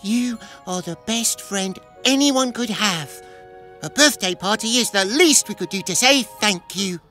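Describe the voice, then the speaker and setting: A woman's voice: mid-range voice, animated, a little slow, pushed and bright, soft background music. A woman voicing a friendly, earnest boy steam engine in a children's cartoon, emphatic and easily flustered. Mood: warm, grateful.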